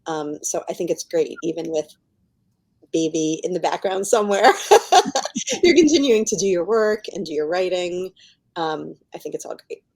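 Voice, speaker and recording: Chatty middle-aged woman with a lowish voice. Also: very animated, normal speed, creaky, a little mellow. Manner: chatty, laughs often while talking. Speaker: guest novelist. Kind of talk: casual podcast conversation.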